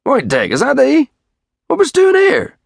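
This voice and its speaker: A man's voice: on the high side, very animated, moderate pace, a bit bright, dry recording, studio quality, pitched above the performer's own voice, full character voice. A man voicing a hearty, exasperated middle-aged tranter with a rural West Country English accent and a sonorous, emphatic delivery. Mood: exasperated scolding. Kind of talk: audiobook character voice.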